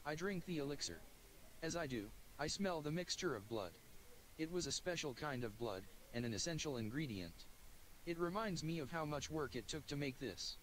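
Synthetic male narrator, slightly high, even mechanical reading, faint noise. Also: moderate pace, poor audio. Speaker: text-to-speech reader. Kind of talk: first-person story narration.

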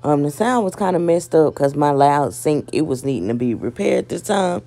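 A woman chats her way through a home recipe, easygoing and talkative. Her voice sits low and dark, with a gravelly fry running under it. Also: moderate pace. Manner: relaxed, chatty, talks viewers through steps. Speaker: home cooking vlogger. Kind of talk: casual cooking vlog, voice-over narration. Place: home kitchen.

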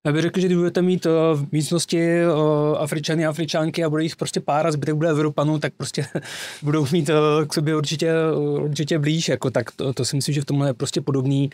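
A man's voice: on the high side, flat delivery, darkish tone, no room sound. A man speaking as an expert guest in a studio panel discussion, fluent but full of fillers. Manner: fluent, with frequent filler words. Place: small studio with wooden table. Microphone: desk mic on boom arm.

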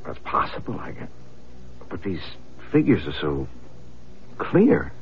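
A man's voice: low-pitched, wildly swooping pitch, takes it slowly, heavily acted. An actor playing an easygoing, curious young husband and father in a radio drama, with a casual, musing manner. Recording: dull lo-fi radio broadcast, faint noise.

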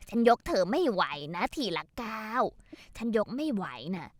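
A woman's voice: a little high, expressive, moderate pace, dry recording. An actress playing an older mother-in-law who grumbles sarcastically in a dubbed drama. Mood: impatient coaxing.

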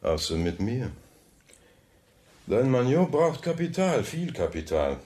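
An actor playing a suave, wealthy banker who talks smoothly and with polite condescension in a radio play. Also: male voice, mid-range voice, very animated, slow, dark tone. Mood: coolly controlling. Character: suave, wealthy banker, coolly probing his rival. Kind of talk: German radio drama dialogue.

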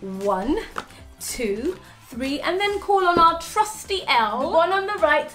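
A young woman presenting a children's lesson, animated and encouraging, speaking clearly for small children. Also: extremely high pitch, unhurried, soft background music. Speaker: early years teacher and host. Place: small room with plain walls, indoors.

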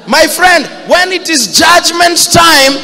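A man in his thirties with a Kenyan accent, preaching a fiery sermon into a handheld mic in a church hall, shouting for emphasis. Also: extremely high pitch, slow pace, faint music behind. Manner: fiery, shouting emphasis, mixes Swahili quotes.

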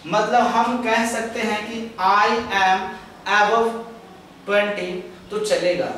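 Teacher in an echoey classroom. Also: male voice, sits high, a bit bright, far from the mic, a little hiss or hum. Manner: explains step by step, drawing out example sentences slowly; mixes English phrases into Hindi explanations. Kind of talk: classroom grammar lecture at a chalkboard. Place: classroom with a chalkboard. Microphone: far camera. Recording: echoey room recorded from afar.

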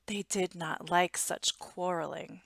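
A woman's voice: on the low side, fairly even intonation, slow pace, creaky, fairly bright tone, dry recording. A woman in her thirties reading aloud expressively for young children, with dramatic emphasis and asides to listeners, on a headset mic indoors. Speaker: storytime reader. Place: small indoor room at home. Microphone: headset boom mic.

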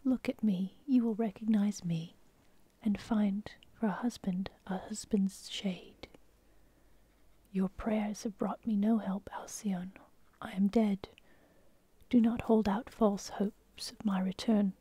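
A woman's voice: mid-range voice, steady tone, husky. Drowned husband's ghost, as a whispering woman puts him on. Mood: mournful pleading. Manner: soft, slow unvoiced whisper. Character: drowned husband's ghost, a dream god in a man's shape, sorrowful. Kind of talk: whispered storytelling.

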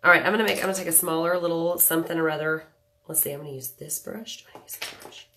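A woman's voice: low voice, quick pace, noisy background. A woman in her thirties with a nasal voice from a cold, chatting casually with viewers while doing her makeup at home. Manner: chatty, joking, greets viewers by name. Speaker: makeup livestream host. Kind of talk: casual live makeup tutorial chat. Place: small home room indoors.

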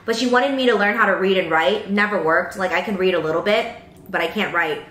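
A woman's voice: medium pitch, a little fast, fairly bright tone, roomy sound. A young woman from Pennsylvania casually telling stories at the table during a food video, full of fillers like "like" and "um". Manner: chatty storytelling with many fillers. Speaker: mukbang host. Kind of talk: casual chat while eating. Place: bright room indoors at a table.

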